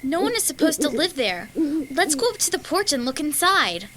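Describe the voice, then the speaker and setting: A woman's voice: high voice, sing-song, moderate pace, background noise. An actress playing a bold, curious young girl in a children's horror audio drama, with an eager, exclamatory delivery. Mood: curious, daring.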